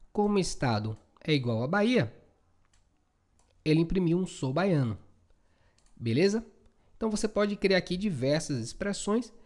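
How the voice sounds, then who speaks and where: A man's voice: a little high, darkish tone. A Brazilian man giving an informal, chatty coding tutorial, often checking in with short tag questions. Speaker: programming instructor.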